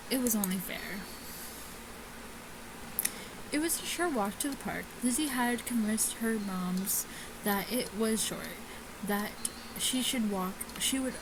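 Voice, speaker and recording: Female let's-player, casual halting read-aloud, slowish pace, noticeable background noise. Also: mid-range voice. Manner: stumbling, halting reading. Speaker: gamer reading a visual novel aloud.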